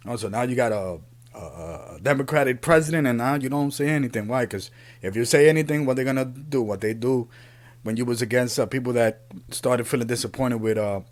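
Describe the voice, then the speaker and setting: A man, born in Puerto Rico and raised in the Bronx, giving an earnest, unscripted opinion monologue as a podcast host, often halting and repeating himself. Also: medium pitch. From Puerto Rico, raised in the Bronx, New York City.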